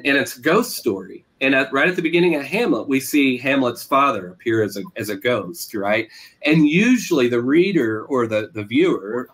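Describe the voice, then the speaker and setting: An American man from Oklahoma answering interview questions as a writer, thoughtfully and haltingly, searching for words and repeating phrases. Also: mid-range voice, a bit bright. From Oklahoma, USA. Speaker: interviewed novelist.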